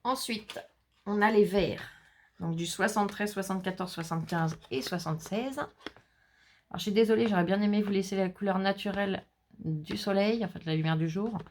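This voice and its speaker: A woman's voice: mid-range voice, normal speed, slight background noise. Chatty woman showing off new craft finds. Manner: chatty, reads out color names and numbers, jokes about herself. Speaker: scrapbooking vlogger. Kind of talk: casual haul video, showing products. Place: indoors, shutters closed against the heat.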